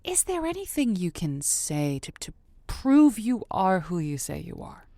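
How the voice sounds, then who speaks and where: A woman's voice: medium pitch, sing-song, measured pace, warm tone, no echo, studio quality. A woman playing a wry radio matchmaker who hosts a comedy call-in show in a conversational way with dramatic emphasis. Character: quick, wry female radio matchmaker host. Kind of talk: comedic radio host acting.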